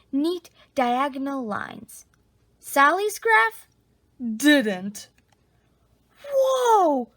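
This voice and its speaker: Lady reading a kids story, high voice, super slow n dramatic, really dry close sound. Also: no room sound. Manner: animated, drawn-out dramatic emphasis. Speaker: storybook reader. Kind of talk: expressive children's picture-book read-aloud.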